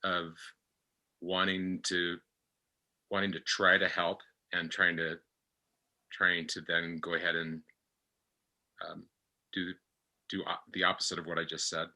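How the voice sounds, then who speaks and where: A man with a very deep voice and a strikingly bright, forceful edge speaks slowly as a reflective guest. He is halting, repeats phrases and searches for words, and his pitch stays very even. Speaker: podcast guest. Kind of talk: reflective personal discussion.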